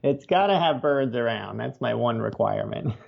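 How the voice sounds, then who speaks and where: A man with a gravelly, fry-laden voice of medium pitch talks casually as an interview guest. He explains things plainly and easily. The recording is a little dull and lo-fi. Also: animated, normal speed. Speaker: interview guest, nature writer.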